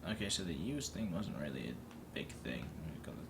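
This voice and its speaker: A man's voice: a bass voice, sing-song, a little fast, background noise. A man giving casual let's play commentary, musing aloud and reacting as he plays. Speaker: gaming video host.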